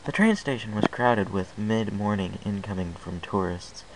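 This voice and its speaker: Deep, gravelly male storyteller. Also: moderate pace, noisy background. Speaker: fan fiction reader. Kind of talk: first-person story narration.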